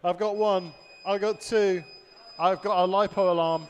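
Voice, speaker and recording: A man's voice: high-pitched, fairly even intonation, unhurried, muffled recording. A man hosting a live race stream, reading chat aloud and commentating, his voice getting worn. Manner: chatty, reads out chat messages, laughs easily. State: losing his voice. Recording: long hall reverb.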